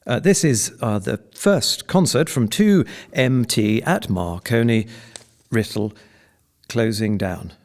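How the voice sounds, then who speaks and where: Man playing halting, creaky 1920s radio announcer. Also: medium pitch, lively intonation, darkish tone. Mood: awkward, unsure. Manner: halting, awkward, stilted. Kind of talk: period radio play acting.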